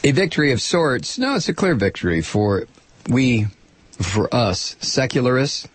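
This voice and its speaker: An American man hosting a political talk show in an opinionated, sarcastic and indignant monologue, with dramatic emphasis and a gravelly, husky voice. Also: very animated, unhurried, no echo. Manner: dramatic emphasis, swinging from low asides to shouts. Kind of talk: opinionated radio monologue, reading and commenting on listener mail and news.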